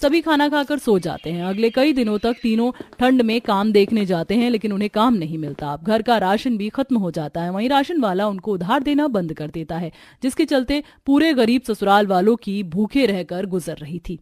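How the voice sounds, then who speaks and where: A woman's voice: medium pitch, lively intonation, moderate pace, hardly any pauses, a little mellow. A woman narrating a scripted cartoon story.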